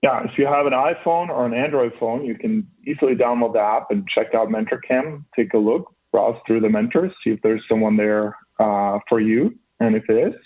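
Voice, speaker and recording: A man's voice: medium pitch, normal speed, few pauses. Norwegian-accented man on a phone line. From Norway. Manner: earnest, with frequent restarts and fillers. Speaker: startup founder guest. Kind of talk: radio interview answers. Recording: band-limited phone line.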